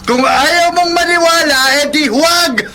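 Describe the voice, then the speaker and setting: Defensive hot-tempered filipino husband, radio drama, really high voice, dismissive, noisy background. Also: male voice, unhurried. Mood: irritated, defensive. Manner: dismissive, blustering, evasive. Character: defensive, short-tempered philandering husband, brazen and bullying.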